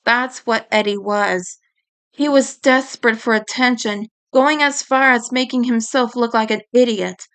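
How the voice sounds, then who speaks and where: A woman's voice: on the high side, fairly even intonation, measured pace, fairly bright tone, smooth. A woman reading a story aloud as dramatic audiobook narration. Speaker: audiobook reader.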